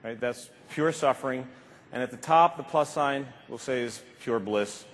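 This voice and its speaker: A man's voice: mid-range voice, moderate pace, slight background noise. A man in his fifties giving an emphatic, informal motivational keynote speech, repeating phrases for effect. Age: 50s. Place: large conference stage indoors. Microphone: lapel mic. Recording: reverberant large hall, slightly muffled speech.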